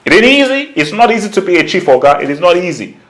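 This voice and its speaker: A man's voice: sits high, sing-song, fast talker, fairly bright tone. Emphatic, slangy man talking football. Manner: slangy, conversational, emphatic repetition, acts out imagined quotes. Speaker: football YouTuber, channel host. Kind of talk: casual opinionated football commentary.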